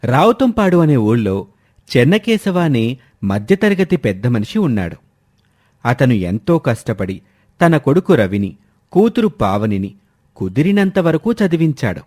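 A man reads scripted story narration in a mid-range voice. His intonation is animated, moving freely up and down as he tells the moral tale. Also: mid-range voice, a little slow.

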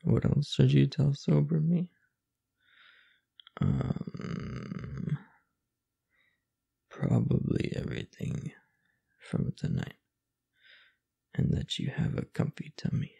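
A young man playing a drunk, affectionate roommate in an intimate roleplay audio, slurring drowsily in a husky, halting voice. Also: wildly swooping pitch, warm tone, heavily acted. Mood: sleepy, fond. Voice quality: husky, breathy, gravelly low voice. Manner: slow, halting, slurred drowsy tipsy delivery. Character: drunk, affectionate young male roommate confessing his crush.

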